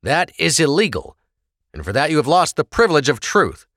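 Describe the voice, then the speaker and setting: A man's voice: fairly high pitch, sing-song, brisk, fairly bright tone, no echo, studio quality, pitched above the performer's own voice, full character voice. Man doing snooty sneering guard voices, raised up, lots of swing. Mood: scornful. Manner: harsh and scolding. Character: stern, scornful male prison guards.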